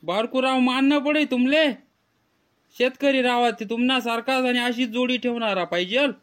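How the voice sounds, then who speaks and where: Man as a chatty cartoon villager, high male voice, emphatic, admiring, Ahirani accent. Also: moderate pace, a bit bright. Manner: emphatic praising. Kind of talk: comedy cartoon dialogue.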